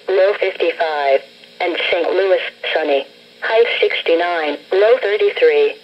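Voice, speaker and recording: A woman's voice: fairly low pitch, lo-fi sound. A synthetic woman's voice reading scripted forecasts as a weather radio announcer, played through a small speaker. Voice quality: synthetic text-to-speech voice.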